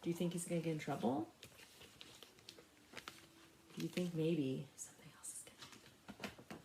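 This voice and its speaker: A woman's voice: low-pitched, vocal fry, slight background noise. Echoey-room woman reading a children's story. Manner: animated, pauses to ask young listeners questions. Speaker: storybook reader. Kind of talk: expressive children's read-aloud.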